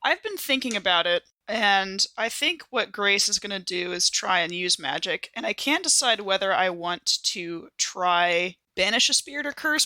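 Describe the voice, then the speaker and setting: A woman's voice: mid-range voice, sharp tone, no room sound. An American woman playing a tabletop game, talking casually and thinking aloud, reworking her ideas mid-sentence. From United States. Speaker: player in an actual-play podcast. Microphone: close studio microphone.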